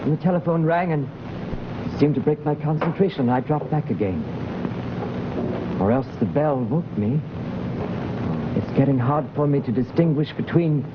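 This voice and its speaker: An actor playing a fervent, obsessive mystic in an old American radio drama, speaking with intense, insistent earnestness. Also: male voice, fairly high pitch, lightly acted. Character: fervent, obsessive mystic man convinced he can levitate. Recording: dull, noisy old radio recording.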